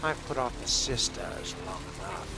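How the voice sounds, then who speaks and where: A man role-playing a vampire while playing a video game, speaking in a theatrical, suave and deliberate way. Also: mid-range voice, animated, creaky, low-quality recording. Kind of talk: in-character game roleplay commentary.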